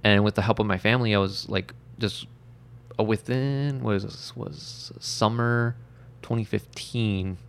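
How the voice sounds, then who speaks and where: A man's voice: on the low side, unhurried, gravelly. A man in his twenties, a comic shop owner, talking in a casual, rambling interview with many fillers. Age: 20s.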